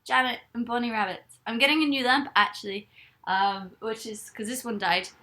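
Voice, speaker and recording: A woman's voice: on the high side, fairly even intonation, moderate pace, pushed and bright. A young woman live-streaming from bed, chatting casually and rambling as she reads viewers' comments aloud. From Ireland. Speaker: livestreamer. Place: bedroom, in bed.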